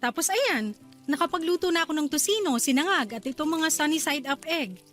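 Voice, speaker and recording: An actress with a Filipino accent playing an affectionate, shy young newlywed wife in a radio drama. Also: female voice, high voice, lively intonation, fairly quick, fairly bright tone, faint music behind. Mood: playful, bashful.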